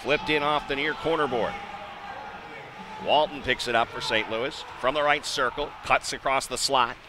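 Male American hockey play-by-play announcer, mid-pitched, live radio style with clear arena noise behind him. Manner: excitable on big plays, measured between. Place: indoor ice arena. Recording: noisy live rink broadcast.

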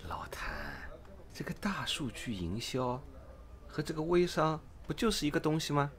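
A man's voice: fairly high pitch, sing-song, moderate pace. A man playing an eager, impatient purchasing agent in a parody radio drama, exclamatory and theatrical as he chums up to his boss. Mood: eager, questioning.

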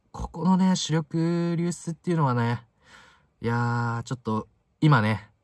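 A man in his twenties giving casual match commentary to camera in short, halting phrases full of fillers, recorded inside a parked car. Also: on the low side, no echo. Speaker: football fan video host.

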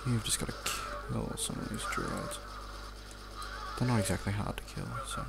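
A man's voice: fairly low pitch, wildly swooping pitch, moderate pace, gravelly. A young Australian man giving casual, off-the-cuff video game commentary, rambling in an offhand mutter and swearing now and then. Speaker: let's play commentator.

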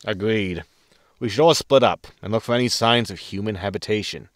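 A man's voice: lowish voice, a little fast, a bit bright, no room sound, natural voice. A man playing a wry, exasperated spaceship captain in a sci-fi comedy audio drama, sarcastic and grumbling as he gives orders. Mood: wry, commanding.

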